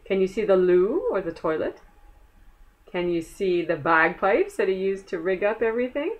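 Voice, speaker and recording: A woman's voice: medium pitch, lo-fi sound. A woman reading a children's story aloud, expressively, with chatty asides and questions to the young listener. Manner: expressive, pausing to explain and ask the listener questions.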